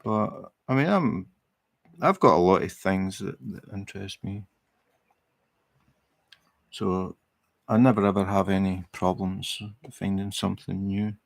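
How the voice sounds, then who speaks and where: A middle-aged Scottish man chatting casually on a livestream while he crafts, relaxed and rambling, with many fillers and restarts. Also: low voice, measured pace, vocal fry, pauses often, no room sound. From Scotland. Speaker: livestream host. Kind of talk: casual chat while crafting, reading viewer comments.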